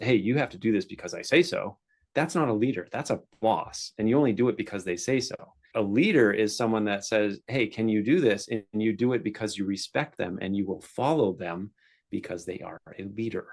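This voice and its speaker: A male podcast host with a low, gravelly voice. He talks earnestly and encourages his guest, making firm points in easy conversation. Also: normal speed. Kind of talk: casual interview conversation.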